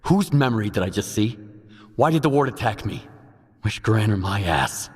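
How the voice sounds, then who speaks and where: An actor playing a gruff, suspicious soldier in a video game, blunt and confrontational. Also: male voice, fairly low pitch, expressive, normal speed, a little mellow. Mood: angry. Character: gruff, defiant, suspicious male stalker soldier. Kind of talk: dramatic video game dialogue. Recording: long reverberant hall echo.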